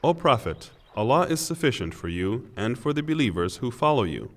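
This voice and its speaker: A man reading a scripture translation from a script in a measured, formal and solemn way. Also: medium pitch, very animated. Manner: measured, formal delivery in short phrases. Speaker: reader of English scripture translation.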